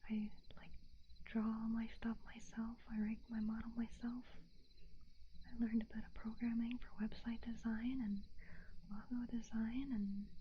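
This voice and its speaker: A woman's voice: a little high, mellow. A young woman VTuber whispering candidly to her stream audience, hesitant and full of fillers. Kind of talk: whispered ASMR-style stream chat. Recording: slightly dull audio with faint hiss.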